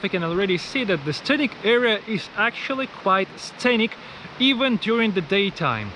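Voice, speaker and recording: A man's voice: high voice, fairly bright tone, slight background noise, low-quality recording. A man giving unscripted travel commentary as a vlogger, explaining what is around him and often rephrasing himself. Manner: explanatory, repeating and rephrasing phrases. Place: mostly outdoors at a tourist site.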